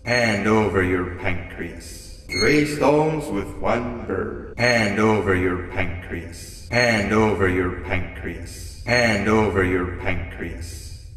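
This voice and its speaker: Game villain: deep, deadpan, menacing monster, every word drawn out slowly. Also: male voice, over music. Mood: cold menace. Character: menacing, deadpan monster demanding body parts. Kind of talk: video game villain acting.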